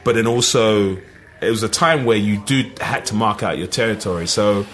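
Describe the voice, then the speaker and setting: A middle-aged man with an East London accent, telling lively stories as a podcast guest, full of "you know what I mean". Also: fairly low pitch, normal speed, slight background noise. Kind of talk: casual storytelling.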